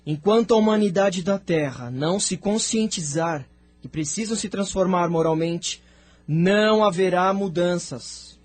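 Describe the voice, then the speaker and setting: High-voiced, emphatic Brazilian spirit, played by a man. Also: measured pace. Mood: emphatic, warning. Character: emphatic male spirit who once lived on Earth. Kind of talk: Brazilian audio drama acting. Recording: music bed behind the voice.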